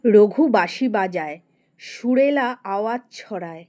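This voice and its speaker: A woman's voice: mid-range voice, expressive, takes it slowly, a little mellow. A woman giving scripted narration for a fairy-tale cartoon. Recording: music behind.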